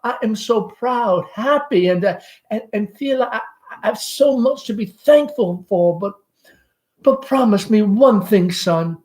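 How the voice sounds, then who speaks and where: Performer putting on an anxious, high-pitched fussing mother. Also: expressive, unhurried, a little mellow, close to an everyday voice. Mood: gushing, earnest. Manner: fluttery, stammering, pleading.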